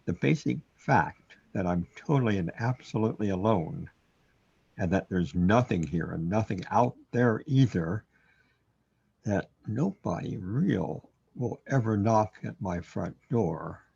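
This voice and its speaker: Male actor as troubled lonely man, low voice, hesitant, questioning, sci-fi radio drama, dull lo-fi sound. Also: expressive, measured pace, vocal fry, warm tone, slightly rough, full character voice. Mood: brooding. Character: troubled, self-doubting man trapped alone in a surreal universe. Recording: dull, lo-fi audio.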